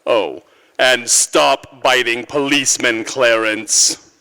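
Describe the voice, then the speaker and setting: Slow, stern senior angel. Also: male voice, mid-range voice, fairly even intonation, sharp tone, slight background noise. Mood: reproving. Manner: stern, deliberate, weighty. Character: stern, authoritative senior male angel, measured and scolding. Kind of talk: 1940s radio drama acting. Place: large church, performed live. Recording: live stage recording in a reverberant hall.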